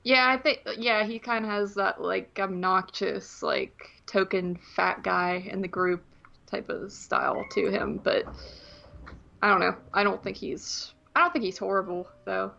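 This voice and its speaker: A woman's voice: medium pitch, fairly even intonation, unhurried, gravelly, pushed and bright, dull audio. A woman co-hosting a movie podcast in casual, rambling conversation, hedging as she goes.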